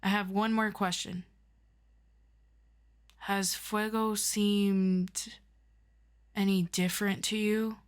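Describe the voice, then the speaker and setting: Audio drama superior, played by a woman: courteous, deliberate questioner, slow delivery, mid-range pitch, quietly probing. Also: flat delivery, lightly acted. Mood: measured, probing. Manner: measured, formal, deliberate questioning. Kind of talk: scripted audio roleplay addressed to the listener.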